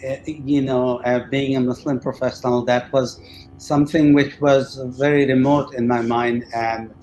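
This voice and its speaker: A man who grew up in India, speaking hesitantly with frequent pauses as a participant in a panel discussion. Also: medium pitch, little pitch movement, a little mellow, faint music behind, rough recording. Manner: hesitant, with many "uh" fillers and restarts. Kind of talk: personal reflection in a panel discussion.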